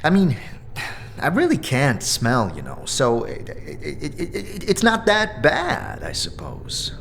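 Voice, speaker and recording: A man's voice: on the high side, huge pitch swings, takes it slowly, vocal fry, fairly bright tone, slight background noise, pitched above the performer's own voice, heavily acted. Man voicing a wisecracking, sarcastic talking skull. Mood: sheepish. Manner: stammering, sarcastic. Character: wisecracking floating talking skull, anxious complainer, male.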